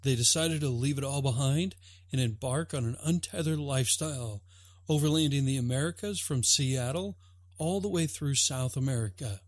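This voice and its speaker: A man's voice: mid-range voice, normal speed, dry recording. Upbeat male podcast host, dry sound. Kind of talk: friendly interview questions.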